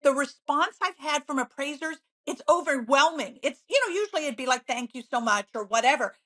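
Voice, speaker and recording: A woman real estate agent as an interview guest, bubbly and upbeat, telling stories with lively enthusiasm. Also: high voice. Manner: bubbly, upbeat, quick to laugh. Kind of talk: conversational interview.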